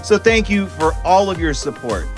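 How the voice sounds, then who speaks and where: A man's voice: a little high, very animated, moderate pace, soft background music. A man hosting a product review video, talking to viewers in short, simple explanatory phrases. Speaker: host of a gear review channel.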